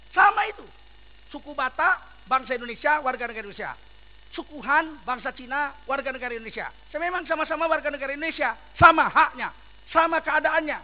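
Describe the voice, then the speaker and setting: High-voiced emphatic male preacher, dull recording. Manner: emphatic, fires rhetorical questions at the audience. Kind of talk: sermon. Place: large mosque.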